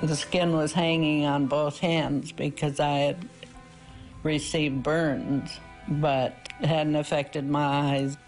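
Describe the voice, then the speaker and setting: Slow, flat, gravelly male survivor. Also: a little high, faint music behind, low-quality recording. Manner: slow, deliberate. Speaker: crash survivor. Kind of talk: interview recollection.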